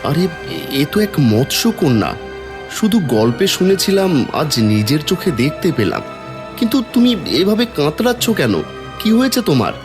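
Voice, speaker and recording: A man's voice: fairly high pitch, very animated, normal speed, music in the background. A man voicing a poor, kind-hearted village farmer, plaintive and earnest, in a cartoon folk tale. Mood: astonished. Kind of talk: Bengali cartoon fairy tale acting.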